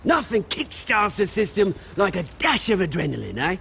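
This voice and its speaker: Volatile British rogue, wildly swinging pitch. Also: male voice, very animated, moderate pace, vocal fry, a little hiss or hum, full character voice. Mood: cocky, chummy. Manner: theatrical, swinging from chummy banter to shouting. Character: volatile down-on-his-luck British rogue, bitter and cocky. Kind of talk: video game voice acting.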